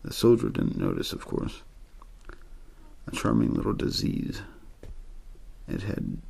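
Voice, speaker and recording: Very deep, gravelly male ASMR reader. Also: huge pitch swings, unhurried, a little mellow. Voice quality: very low, gravelly, husky. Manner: understated, untheatrical delivery. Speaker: amateur narrator reading a novel aloud. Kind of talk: quiet ASMR bedtime story reading.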